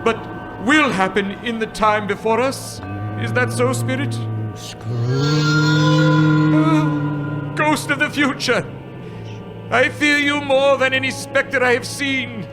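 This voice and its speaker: A man's voice: very high-pitched, a little slow, pauses often. A man acting in a live radio play, playing a frightened old miser who pleads with a ghost, with music behind. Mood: fearful, pleading. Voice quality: lively, imploring.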